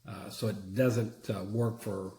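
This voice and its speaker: A man giving a casual, unscripted product demonstration in a halting, plain-spoken way. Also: fairly low pitch, steady tone, warm tone, slight background noise. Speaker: product reviewer; church security team member. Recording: roomy echo.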